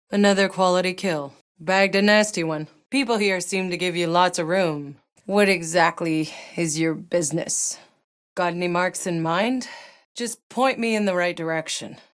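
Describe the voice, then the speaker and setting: Game lead: actress as battle-worn woman soldier, lowish pitch, sardonic, blunt, world-weary, naturalistic delivery. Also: clean tone, lightly acted. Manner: dry, blunt, clipped delivery. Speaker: video game player character. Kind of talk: naturalistic game character voice lines.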